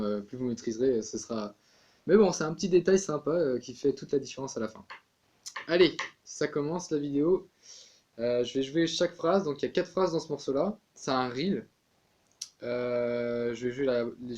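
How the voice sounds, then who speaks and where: A man's voice: medium pitch, moderate pace, darkish tone. A man giving a casual tin whistle tutorial, chatty, with many fillers and restarts.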